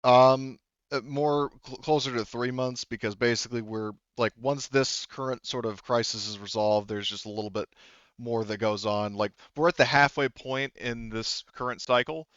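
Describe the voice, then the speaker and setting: A man game-mastering a tabletop roleplaying game in a casual, halting, rambling way, explaining as he goes. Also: mid-range voice, fairly even intonation, fairly bright tone, smooth, dry recording, lo-fi sound.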